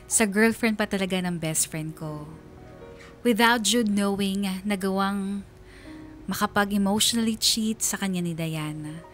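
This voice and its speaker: A woman telling a first-person love story on radio in an emotive, confessional, dramatic style. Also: mid-range voice. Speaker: radio storyteller reading a listener's letter. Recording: music under the voice. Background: background music.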